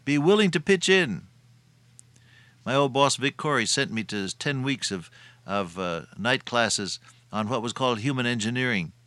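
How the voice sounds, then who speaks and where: A man's voice: mid-range voice, lively intonation, normal speed, pushed and bright, no echo. An older man preaching a sermon in a folksy, homespun way. He tells everyday anecdotes and chuckles at his own stories.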